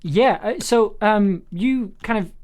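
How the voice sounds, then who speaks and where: A man directing an audio drama, giving casual, encouraging feedback in a halting, repetitive way. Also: sits high, darkish tone. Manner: hesitant, encouraging, repeats phrases.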